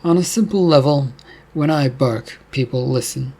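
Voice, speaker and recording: A man's voice: medium pitch, normal speed, a little mellow, slightly rough. A man voicing a blunt, commanding part-human paranormal detective. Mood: calm.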